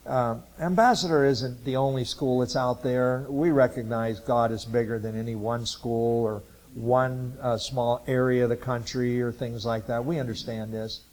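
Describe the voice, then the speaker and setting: A man in his sixties, raised in Ohio, talks to a church gathering about his work teaching future missionaries. His delivery is halting and rambling, full of filler sounds and restarts. His voice sits at an ordinary middle pitch for a man. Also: fairly even intonation, darkish tone, rough recording. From Ohio, USA. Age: 60s. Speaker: Bible college missions teacher. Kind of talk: informal testimony talk to a church group.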